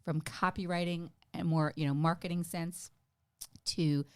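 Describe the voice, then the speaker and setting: A woman's voice: on the low side, flat delivery, a little slow, no room sound, clean studio sound. A middle-aged woman from the United States, a podcast guest talking in a chatty, self-deprecating way with many fillers. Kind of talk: casual interview chat.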